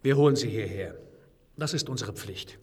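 An actor playing a skeptical, decisive leader of hidden survivors in a German radio play, dry and questioning. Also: male voice, fairly low pitch, moderate pace, dark tone, husky. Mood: decisive, concerned. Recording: distant-sounding, long reverberant hall.

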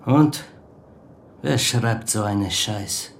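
An actor playing a menacing, condescending biker club boss in a crime radio drama. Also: male voice, fairly low pitch, vocal fry, a little hiss or hum, heavily acted. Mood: mocking, menacing. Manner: slow, condescending, threatening.

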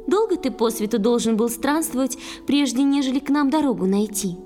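A woman's voice: high-pitched, lively intonation, a little fast, big-room sound. A woman voicing a playful, willful eighteen-year-old water-sprite girl in an audio fairy-tale play, childlike and impulsive. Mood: playful, curious. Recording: music under the voice.

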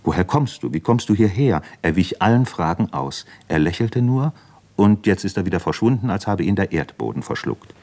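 Radio play: ailing introspective diarist, deep-set low register, brooding, halting, self-questioning. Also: male voice, very animated, a little mellow, heavily acted. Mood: puzzled. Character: brooding, ailing man writing an introspective diary. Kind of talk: literary radio play monologue.

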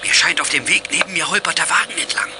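A man's voice: on the high side, fast, very noisy, lightly acted. A middle-aged man playing a commanding, concerned father who gives clipped radio orders in a children's radio play. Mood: urgent.

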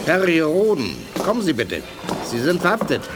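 An actor playing a brusque, excitable police officer in a 1980s German radio play, barking out orders. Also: male voice, on the high side, normal speed, noisy background. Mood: stern. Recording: music behind studio radio drama.

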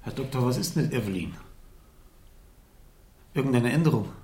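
A man's voice: mid-range voice, expressive, normal speed, a little mellow, natural voice. An actor playing a concerned, hesitant uncle in his fifties in a German radio crime drama. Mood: worried. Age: 50s. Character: concerned, hesitant fifty-two-year-old bachelor uncle. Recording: roomy, noisy old radio production.

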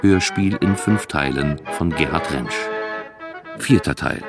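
A man's voice: extremely low pitch, slow. A man announcing the title and credits of a radio drama in a scripted read. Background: music.

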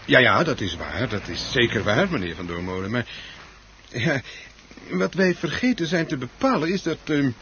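A politely evasive club chairman in a radio play. He hems and hesitates with formal apologies, his pitch rising and sinking in a very animated way. The recording is old and muffled. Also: moderate pace. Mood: awkward, evasive. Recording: dull, slightly noisy old radio recording.

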